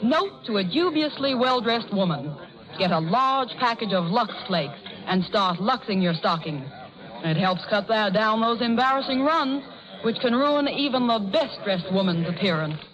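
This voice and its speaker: An actress playing a knowing, chic fashion columnist giving smooth, instructive advice in an old radio commercial. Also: female voice, a little high, expressive, moderate pace, a bit bright. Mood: amused. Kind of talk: 1930s radio commercial acting. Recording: muffled vintage radio recording.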